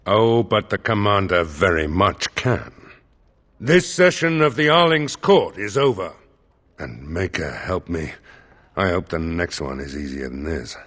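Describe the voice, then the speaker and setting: Deep, husky, formal seneschal. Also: male voice, animated, fairly bright tone, exaggerated voice. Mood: dry, weary. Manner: measured, formal, dryly wry. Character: stern male seneschal, formal, wry court steward. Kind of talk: video game voice acting.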